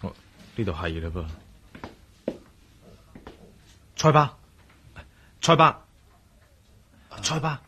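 A man's voice: mid-range voice, sing-song, takes it slowly, sharp tone, breathy edge, exaggerated voice. An actor playing a concerned, caring man in a radio drama, speaking earnestly with sighs and a Hong Kong Cantonese accent. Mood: anxious. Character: concerned, caring man looking after an old friend.

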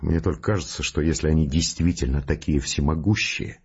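An actor playing a rattled, skeptical scientist in a Russian audio drama, grumbling in wry, colloquial, reluctant speech. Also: male voice, very deep voice, brisk, a little mellow, slightly rough, highs cut off, exaggerated voice. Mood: nervous reasoning.

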